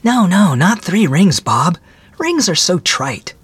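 A woman's voice: lowish voice, slow pace, slightly rough, dry recording, full character voice. A woman playing an eager, vivacious young engaged woman in story dialogue. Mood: protesting. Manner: excitable, eager, chattering.

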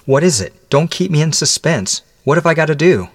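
A man's voice: a little high, animated, fairly quick, no room sound, voice pushed up. A man voicing a desperate, overwrought condemned prisoner with pleading, vehement delivery in a melodramatic audiobook. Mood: anxious, eager. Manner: pleading, panting, vehement outbursts.

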